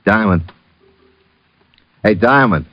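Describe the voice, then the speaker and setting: A man's voice: on the low side, animated, slow, no echo. A man playing a brutish, casually violent henchman in an old radio drama, laconic and offhandedly menacing. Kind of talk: 1940s-50s radio drama acting. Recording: dull, muffled old radio recording.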